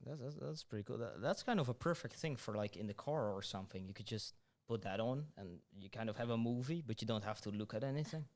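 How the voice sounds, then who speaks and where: A man's voice: lowish voice, lively intonation, quick pace. A young man hosting a podcast, upbeat but hesitant, with many restarts. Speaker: podcast host. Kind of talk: casual podcast interview.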